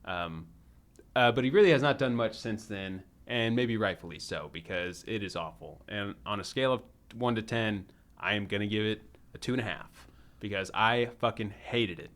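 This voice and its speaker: Low-voiced man in casual podcast chat. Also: normal speed, fairly bright tone. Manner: rambling, swears freely. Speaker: podcast host. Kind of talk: casual movie review chat.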